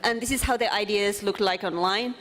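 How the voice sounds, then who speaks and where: Woman, conference speaker, earnest, halting with fillers, mic in a hall. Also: mid-range voice, fairly bright tone. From Finland. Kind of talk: presentation talk.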